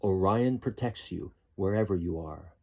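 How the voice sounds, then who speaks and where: A man's voice: sits low, moderate pace, warm tone, breathy edge. A man voicing a kindly enchanted guitar that recites a solemn rhyme in a storybook. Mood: solemn, inviting.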